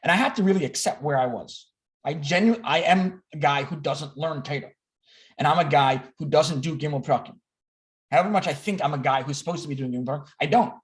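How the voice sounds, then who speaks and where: Guy on a video call telling his own story, kinda high voice, keeps repeating himself. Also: fairly quick. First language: English. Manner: candid, self-deprecating, repeats words and restarts often. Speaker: speaker at an online learning gathering. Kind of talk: personal talk to a video call group.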